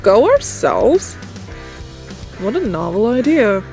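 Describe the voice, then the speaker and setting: Brief: woman as a slow, dry, sarcastic teen. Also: a little high, sing-song, gravelly, a little mellow, faint music behind, heavily acted. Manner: drawling sarcasm. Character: sarcastic teen girl stood up by her date.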